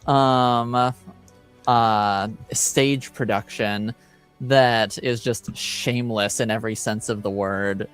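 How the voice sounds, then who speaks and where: A man narrating an improvised tabletop roleplaying game as game master, halting as he searches for words. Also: medium pitch, fairly even intonation, a bit bright, smooth, faint music behind.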